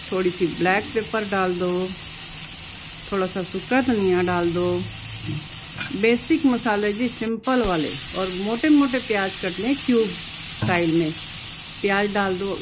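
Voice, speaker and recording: A woman hosting a cooking video in her home kitchen, talking homely and instructive and repeating words for emphasis. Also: medium pitch. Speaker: cooking channel host. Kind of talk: chatty cooking narration. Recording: loud sizzling pan noise. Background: frying and stirring sizzle.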